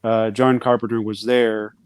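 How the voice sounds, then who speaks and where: A man's voice: fairly low pitch, level tone, a little slow, clear, resonant tone. A man chatting on a podcast panel, speaking hesitantly and reflectively. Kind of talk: casual chat.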